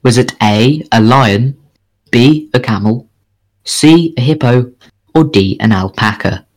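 A man's voice: medium pitch, slow pace, rough recording. Jokey man reading quiz questions. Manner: jokey, reads questions like a bingo host. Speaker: podcast co-host. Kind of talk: casual chat, quiz-style news reading.

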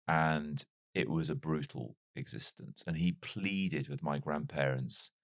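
A very deep male voice with a mild British lilt from a man who grew up in North Wales. He tells stories in a reflective, self-deprecating way. The sound is dry and close, as if recorded on a studio mic. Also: unhurried, fairly bright tone, no echo. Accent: mild British English, not strongly Welsh. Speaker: podcast guest. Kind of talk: casual interview storytelling.

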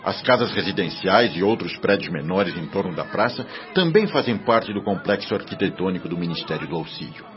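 An actor playing a kindly, earnest spirit guide who explains things reverently in radio soap style. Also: male voice, deep voice, lively intonation. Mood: explanatory. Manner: didactic, reverent, measured. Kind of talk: Brazilian radio soap acting. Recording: dull radio drama with music behind.

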